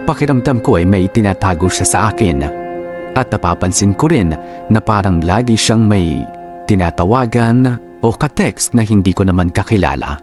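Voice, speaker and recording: A man narrating a wife's aggrieved confession for a radio drama, in a measured, deliberate manner. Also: fairly low pitch, close to an everyday voice. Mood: suspicious. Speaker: narrator reading a letter-writer's story.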